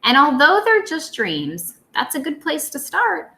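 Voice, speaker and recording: A woman reads aloud to children in a roomy, echoey space. Her voice is bright and very animated, rising and dipping with playful drama. She sounds like a schoolteacher performing for her young students. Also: high voice, measured pace, gravelly. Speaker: teacher reading aloud to students. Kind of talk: expressive children's read-aloud.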